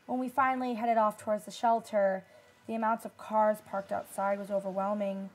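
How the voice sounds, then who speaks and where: A woman's voice: mid-range voice, level tone, moderate pace, darkish tone, faint music behind, natural voice, low-quality recording. A young woman playing a solemn nineteen-year-old disaster survivor giving testimony in a radio drama. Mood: somber, reflective. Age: young adult.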